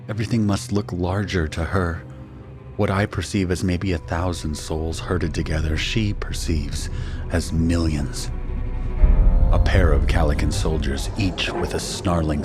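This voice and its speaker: An actor playing a shaken husband and father who narrates his ordeal in a grave, measured, first-person style for an audio drama. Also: deep voice. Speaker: narrator and main character.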